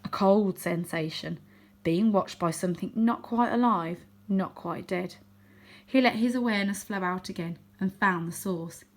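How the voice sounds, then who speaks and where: A woman's voice: mid-range voice, moderate pace. Woman reading a children's story expressively. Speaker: storybook reader. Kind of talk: expressive children's book narration.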